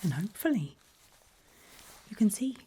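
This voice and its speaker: A woman whispering gently and reassuringly to a patient in an ASMR medical roleplay, close to the microphone. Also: medium pitch, sing-song, normal speed, a little hiss or hum. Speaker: ASMR performer playing a back-alley eye surgeon.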